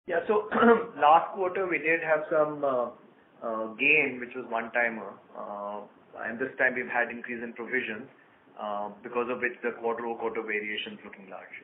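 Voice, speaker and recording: A man speaking as a finance executive on an earnings call, in a halting, businesslike delivery. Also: medium pitch. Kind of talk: earnings call remarks and answers. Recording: noisy, tinny phone conference line.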